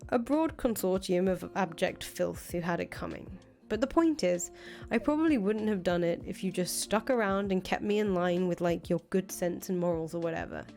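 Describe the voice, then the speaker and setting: A woman's voice: fairly low pitch, moderate pace, gravelly, darkish tone. A woman voicing a brash, blunt, foul-mouthed revolutionary leader in a visual novel, talking casually. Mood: defensive. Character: brash, foul-mouthed revolutionary leader, volatile but attached to her friend. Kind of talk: visual novel dialogue reading. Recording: music behind the voice. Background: music.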